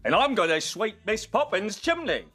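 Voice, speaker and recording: A man's voice: sits high, very animated, moderate pace. A man playing a sitcom character putting on a cheeky Cockney chimney sweep, jaunty and exaggerated. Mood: jaunty flirting. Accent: put-on Cockney. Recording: loud noisy sitcom audience.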